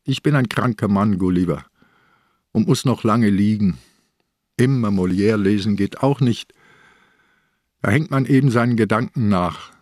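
Old, probing police commissioner. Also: male voice, lowish voice, measured pace, pauses often, darkish tone, dry recording, clean studio sound. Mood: guarded, wry. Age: older. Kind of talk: German radio crime drama acting.

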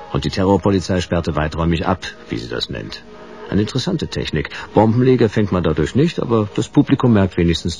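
A man's voice: sits low, vocal fry. An actor playing a wry, world-weary private detective, narrating his case in a dry, laconic noir style for radio drama.

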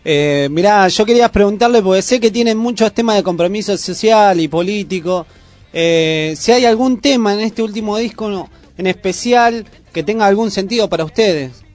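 An Argentine man works as a radio interviewer. His voice is noticeably high and his delivery conversational, with faint music behind him. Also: moderate pace, darkish tone. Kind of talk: casual radio chat.

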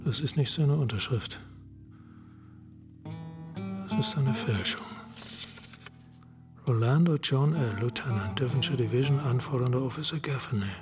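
An actor playing a focused, terse homicide detective with a clipped manner in a radio crime drama. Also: male voice, mid-range voice, measured pace, pauses often, music in the background, close to an everyday voice. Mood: intent, suspicious.

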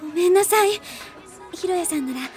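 A woman playing an anxious, earnest young girl in a soft, girlish manner, in a Japanese radio drama. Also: very high-pitched, a little fast, faint music behind. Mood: earnest, troubled.

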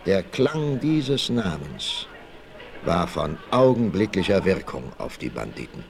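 An actor narrating a western adventure in an emphatic, suspenseful style for a 1960s radio play. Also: male voice, sits low, big pitch swings, slow, gravelly, heavily acted. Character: dramatic storyteller of a western adventure. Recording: vintage vinyl radio play, faint noise.

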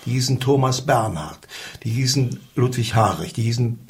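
A man talks in an interview about his past work. His voice sits at a middle pitch and he speaks in a conversational way. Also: animated, normal speed. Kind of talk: spoken recollection.

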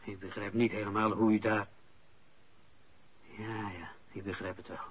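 An actor playing an indignant, flustered official in a radio play, protesting emphatically and stammering. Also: male voice, lowish voice, little pitch movement, normal speed, slightly rough. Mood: defensive. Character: indignant, flustered male official wrongly accused.

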